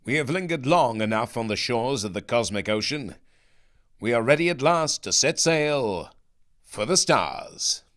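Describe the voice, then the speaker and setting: A man's voice: mid-range voice, expressive, unhurried, a bit bright. Samson, a man voicing a pompous astronaut leader. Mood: grandiose. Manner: grandiose, intoning. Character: pompous, cheerily confident male astronaut leader, posh-schooled.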